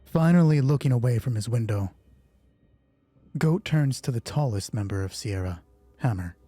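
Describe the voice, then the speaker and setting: A man narrating a solo tabletop roleplay as host, moving between measured storytelling and casual asides. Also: medium pitch, expressive, dark tone, breathy edge, no echo, soft background music.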